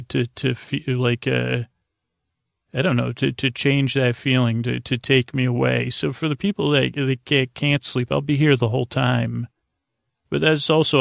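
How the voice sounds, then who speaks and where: Creaky, soothing man rambling at bedtime. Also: mid-range voice, no echo. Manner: soothing, lulling, halting delivery. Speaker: host of a bedtime podcast. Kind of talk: rambling, meandering monologue for falling asleep.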